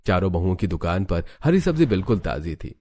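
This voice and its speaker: A man's voice: deep voice, sing-song, fairly quick, mellow. A man narrating a scripted cartoon family story as a storyteller.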